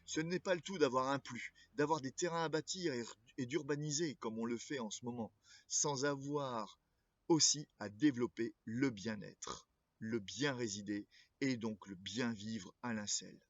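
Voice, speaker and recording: A man speaking as a local political candidate, reading a scripted address in a formal, measured way and occasionally stumbling over words. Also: mid-range voice, dry recording, dull audio.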